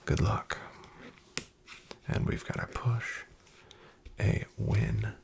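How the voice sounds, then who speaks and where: A man playing a shady, guarded back-alley card dealer in a soft-spoken ASMR roleplay, half-whispering his clipped, unhurried patter with a gravelly creak. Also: on the low side, monotone, exaggerated voice. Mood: cool, businesslike.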